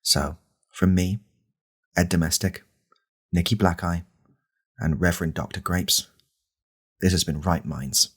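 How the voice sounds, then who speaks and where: A man playing a creepy, self-serious right-wing podcast host in a comedy parody, deadpan and halting, with a gravelly, husky voice. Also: low-pitched. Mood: smug, solemn.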